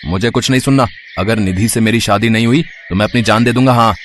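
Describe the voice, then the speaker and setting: Man playing a defiant young son, lowish pitch, insistent, selfish, animated story, some background noise. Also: fast talker, lightly acted.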